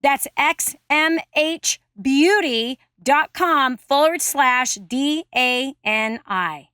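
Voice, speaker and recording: A woman with a high voice delivers a scripted advertisement. She works as a promotional announcer, close to the microphone. The sound is very dry, free of room echo. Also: extremely slow, pushed and bright.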